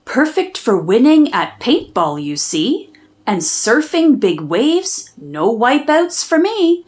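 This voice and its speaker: A high-voiced woman narrates a children's picture book in verse. Her pitch swings widely and she takes her time over the lines. She leans into key words with expressive, dramatic emphasis. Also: slow. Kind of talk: storybook read-aloud, rhyming verse.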